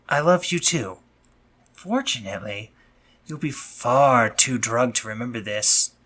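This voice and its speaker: A man playing a doting, dominant spider-monster doctor in an intimate roleplay audio drama, husky and breathy, speaking tenderly yet commandingly. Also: on the low side, bright, forceful tone, heavily acted. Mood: teasing affection. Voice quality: husky, breathy, sometimes whispering.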